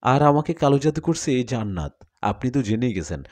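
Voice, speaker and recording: A man's voice: medium pitch, big pitch swings, a little fast, a little mellow, close to an everyday voice. A man voicing a frightened, cursed young man in a horror story, agitated and insistent.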